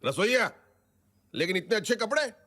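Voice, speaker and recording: An actor playing an older, blunt, nosy and excitable father-in-law with a Gujarati accent in a broad comedy film. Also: sits high, moderate pace, heavily acted. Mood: suspicious. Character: loud, suspicious Gujarati father-in-law, doting new grandfather.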